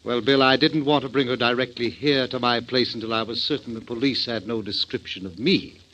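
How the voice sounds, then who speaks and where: An actor in a 1940s radio drama playing a calm, courteous, erudite criminal mastermind with formal, wordy, condescending speech. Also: male voice, mid-range voice, brisk, close to an everyday voice. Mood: smooth, condescending. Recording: dull old 1940s radio recording.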